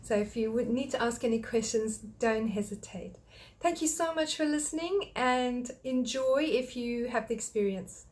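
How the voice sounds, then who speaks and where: An older woman from Australia gives a friendly health talk into a webcam in a small home office. Her voice sits a little high, and she works through her points one at a time in a patient, explanatory way. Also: normal speed. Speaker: thyroid clinic practitioner. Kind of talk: direct-to-camera health talk.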